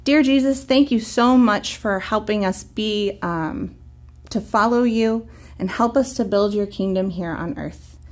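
A woman's voice: medium pitch, a little slow. Encouraging woman teaching kids on camera. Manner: gentle, clear and encouraging. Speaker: children's church teacher. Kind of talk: talking to children on camera.

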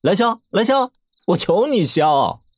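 A young martial-arts prince with a fairly high, lively voice. He is breezy and teasing yet used to command, heard close and dry in a radio play. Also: a little slow, no room sound, heavily acted. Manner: breezy, bantering, mock-polite. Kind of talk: audio drama acting.

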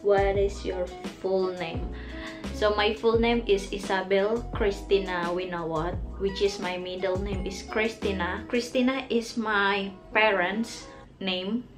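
Slow Indonesian-accented young woman. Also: mid-range voice, steady tone, music in the background. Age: young adult. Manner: slow, hesitant, giggly, answers in short bits. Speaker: vlogger. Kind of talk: casual get-to-know-me tag video. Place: small bedroom, indoors. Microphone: camera in front at close range.

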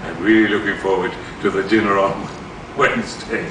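Man doing a low, sultry woman. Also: fairly even intonation, a little fast, close to an everyday voice. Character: sultry, suggestive married woman shopkeeper. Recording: echoey theatre, distant camera mic, loud noise.

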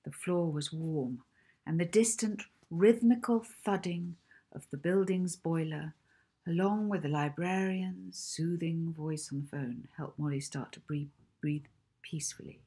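A middle-aged woman reading a children's story aloud as its author, in a measured, deliberate way. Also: low voice, dark tone, slightly rough. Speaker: author reading own book. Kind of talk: children's audiobook narration.

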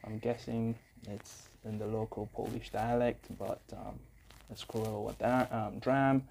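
Young guy reacting to a song, lots of ums, mellow kinda flat voice, little hiss. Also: fairly low pitch, unhurried, gravelly, rough recording. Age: young adult. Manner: upbeat, chatty, many fillers. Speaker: music reaction vlogger. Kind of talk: casual reaction commentary. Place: small room indoors. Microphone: earphone mic, phone camera close up.

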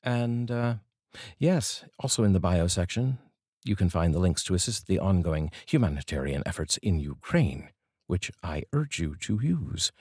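A middle-aged man with a very low, husky voice. He speaks as a poetry reader, with deliberate phrasing. The sound is close and dry, from a studio microphone. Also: expressive, darkish tone, no room sound. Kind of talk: poetry reading with casual introduction. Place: small dark indoor studio. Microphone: large studio microphone, close.

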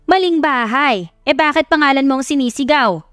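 A nosy, excitable middle-aged mother in an audio drama as a female narrator plays her. Her voice is high and suspicious. Music plays behind, with a roomy echo. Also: moderate pace, clean studio sound. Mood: loud, suspicious.

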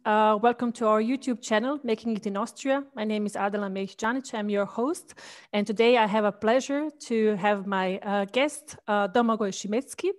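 A woman's voice: mid-range voice, normal speed. A woman in her thirties hosting an interview over a video call on a headset, friendly and asking questions. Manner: friendly, asks questions with short backchannels. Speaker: host. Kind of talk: interview host.

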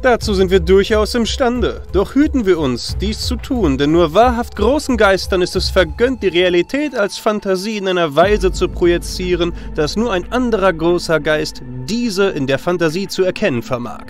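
A man's voice: sits high, animated, moderate pace, hardly any pauses, soft background music, voice pushed up. A man voicing an arrogant, brave mythical hero in a fantasy audio drama, with pompous, formal, self-assured delivery. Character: arrogant, brave young male Greek-myth hero.

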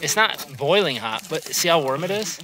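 A man in his thirties, excitedly narrating a family vlog to a handheld camera outdoors on a volcano. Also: on the high side, moderate pace. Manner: excited, exclaiming, explaining to camera. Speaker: family vlogger. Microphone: handheld camera, selfie framing. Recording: loud wind and outdoor noise.

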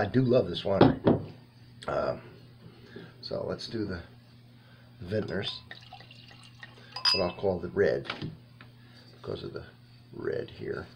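A man in his fifties giving a casual, halting tasting review to camera, rambling and thinking aloud in a small indoor room. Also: low-pitched, vocal fry, very noisy. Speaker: wine reviewer and host.

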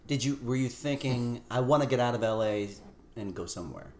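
A man co-hosting a casual chat, firing off quick reactions and questions. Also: medium pitch, darkish tone, echoey room, a little hiss or hum. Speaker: co-host. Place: home kitchen, indoors.

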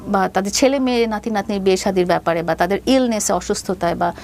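A Bangladeshi woman in her fifties shares her story as an interview guest. Her mid-pitched voice has a noticeable creak, and she speaks haltingly, slipping into English phrases. There is faint noise behind her. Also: darkish tone. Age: 50s. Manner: hesitant, halting delivery, mixing in English phrases.

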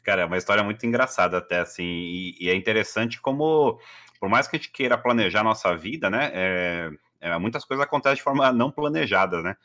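A man's voice: lowish voice, fast talker, pushed and bright. A man, telling his story as a guest on a video call, chatty with many fillers. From Brazil. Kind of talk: casual interview storytelling. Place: living room at home.

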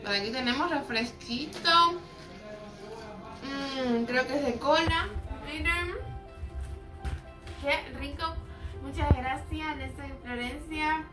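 A young woman in her twenties with a Cuban Spanish accent, chatting excitedly as she shows things to her vlog viewers on a phone camera at home. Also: high voice, over music. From Cuba. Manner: chatty and excitable, quick exclamations. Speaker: vlogger. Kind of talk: casual show-and-tell vlog. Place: small home dining room, indoors.

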